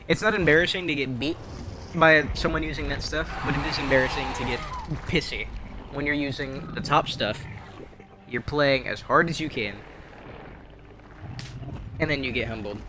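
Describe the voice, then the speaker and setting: A man's voice: mid-range voice, normal speed, long pauses, background noise. A young man chatting casually over his own gameplay, rambling and wry, poking fun at himself and at other players. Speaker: game streamer.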